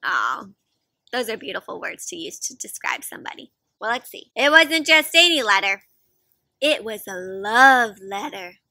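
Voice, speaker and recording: A young adult woman doing an expressive, interactive picture-book read-aloud for children, asking lots of questions. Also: mid-range voice, normal speed, no room sound. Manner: expressive, asks frequent questions, gasps. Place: outdoors in a fenced backyard.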